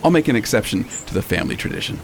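A man's voice: fairly low pitch, big pitch swings, very fast, a little hiss or hum. A man playing a jovial, indulgent wealthy fiancé in a radio drama, affectionate in manner. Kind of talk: amateur radio drama acting.

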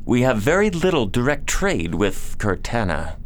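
A man's voice: medium pitch, sing-song, normal speed, slight background noise, natural voice. A man playing a sober informant who delivers factual reports in a science-fiction audio drama, in a matter-of-fact way.

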